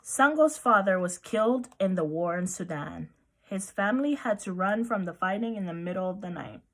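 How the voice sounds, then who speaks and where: A woman reading a children's picture book aloud as narrator, with expressive storytelling. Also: mid-range voice, normal speed.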